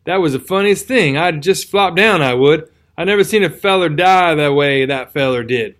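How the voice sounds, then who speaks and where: Guy putting on a hurt old-timey country soldier, drawl, folksy and slurring, higher than his own voice. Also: natural voice. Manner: folksy, rambling, garrulous. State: weak from a wound, slurring. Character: wounded, rambling, kindly rural soldier man. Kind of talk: audiobook character reading.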